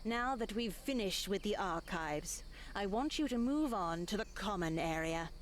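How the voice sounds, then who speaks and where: An actress voicing a stern, cold older nun who commands in a slow, menacing way, in a visual novel. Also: female voice, medium pitch, animated, slight background noise, natural voice.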